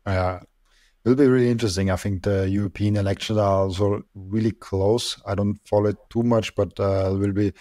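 A man's voice: lowish voice, fairly even intonation, normal speed, mellow, dry recording. A young man hosting an interview from a room at home, speaking into a close desk mic. He hesitates, stammers and gives small laughs. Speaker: host. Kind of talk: casual interview questions. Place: room at home with colored lights. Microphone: large desk mic close up.

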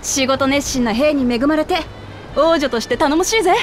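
A woman voicing a brash, tomboyish young princess in a game, speaking in a rough, boyish way. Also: high voice, normal speed, slight background noise, close to an everyday voice. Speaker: princess character in a game cutscene.

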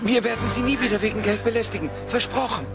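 A man playing a wary, polite gentleman in a cartoon dub, sounding anxious to please. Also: very high-pitched, fairly even intonation, fast, over loud music. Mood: wary, eager. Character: wary charity-collecting gentleman. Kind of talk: German cartoon dub acting.

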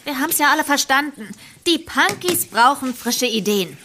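A woman's voice: high voice, lively intonation, normal speed, bright, forceful tone, a little hiss or hum. A woman playing a brash, sarcastic young girl bandmate in a German audio drama.

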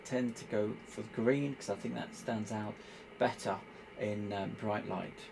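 A man giving an informal hands-on tutorial, explaining step by step in a halting, hesitant way. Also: on the low side, fairly even intonation, vocal fry, background noise. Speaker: presenter demonstrating radio equipment.

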